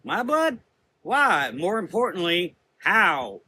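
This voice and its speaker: A man's voice: high voice, sing-song, slow, sharp tone, a little hiss or hum, voice pushed up, heavily acted. A man voicing a blunt, hot-tempered woman sailor in a gothic horror audio drama. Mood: startled.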